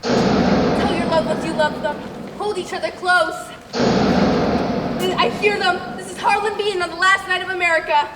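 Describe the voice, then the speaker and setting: A woman's voice: very high voice, fairly even intonation. Woman playing a grave radio announcer, distant echo. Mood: rising alarm. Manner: grave, drawn-out dramatic delivery. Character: solemn, overwrought radio announcer reporting doomsday. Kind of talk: mock 1930s radio broadcast acting on stage. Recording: distant echoey hall, clear noise.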